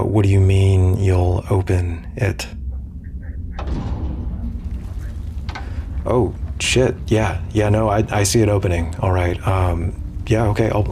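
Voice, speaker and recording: An actor playing an awkward, earnest space commander who stammers and mutters nervously to himself in an audio drama. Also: male voice, noisy background. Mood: flustered. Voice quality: low, gravelly. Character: awkward, earnest space commander, self-deprecating emissary, smitten. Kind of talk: immersive first-person audio drama.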